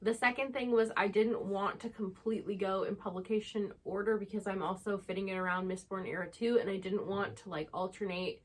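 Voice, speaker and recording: Mid-pitched woman in casual book chat. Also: fairly even intonation, a little fast, few pauses. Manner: explanatory, conversational, peppered with "like". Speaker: book channel co-host.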